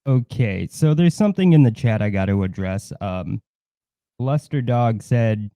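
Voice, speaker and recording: A man co-hosting a live stream with casual chat and deadpan, sarcastic asides. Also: lowish voice, measured pace, no echo.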